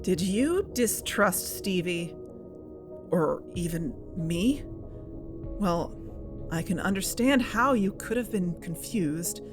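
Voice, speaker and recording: A woman playing a defensive, self-assured investigative podcast host with a deliberate, insistent manner. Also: on the low side, lively intonation, over music. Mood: composed, defensive. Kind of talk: scripted narration in audio drama.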